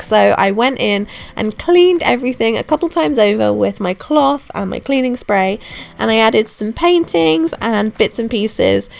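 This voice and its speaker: A young woman with a hoarse voice from a throat infection, narrating her home renovation vlog in a chatty, relaxed way. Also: mid-range voice. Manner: chatty, relaxed, self-deprecating. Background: soft background music.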